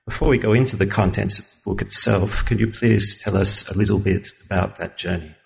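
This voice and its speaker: A very low male voice with a gravelly creak. He hosts an interview show, delivering prepared introductions and questions in a measured, orderly manner. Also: fairly even intonation, fairly bright tone, low-quality recording. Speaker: podcast host, research fellow.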